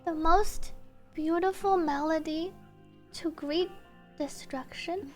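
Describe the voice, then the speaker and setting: Really high voiced girl streamer reading out game cutscene lines all dramatic, bit of music behind. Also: slow pace, darkish tone. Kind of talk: reading translated subtitles aloud.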